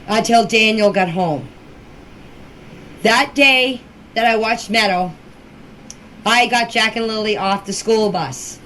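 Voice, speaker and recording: An older woman giving an informal personal account in a deliberate, halting way, with short emphatic phrases, recorded as an amateur voice message. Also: mid-range voice, fairly bright tone, a little hiss or hum, rough recording. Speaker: relative recounting events. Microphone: voice clip recorded for group chat.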